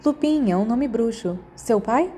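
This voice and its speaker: Lady doing a brisk schoolgirl in a storybook, matter of fact, bit high. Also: expressive, mellow, slight background noise. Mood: prompt, informative.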